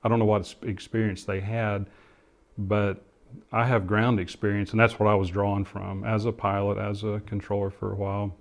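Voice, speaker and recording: A middle-aged man giving interview testimony in a halting, reflective way as he explains what he remembers. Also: lowish voice, flat delivery. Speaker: interviewee, former air traffic controller and pilot.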